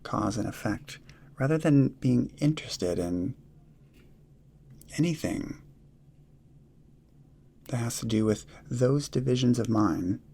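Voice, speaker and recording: A man guiding a meditation in soft, breathy, measured short phrases with long pauses, often trailing into a near whisper. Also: medium pitch, animated. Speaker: meditation guide. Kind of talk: guided meditation, slow instruction.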